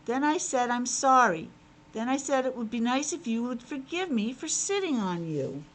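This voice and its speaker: A woman's voice: a little high, lively intonation, moderate pace, slight background noise, close to an everyday voice, rough recording. A woman voicing an earnest, apologetic young boy in a children's storybook read-aloud.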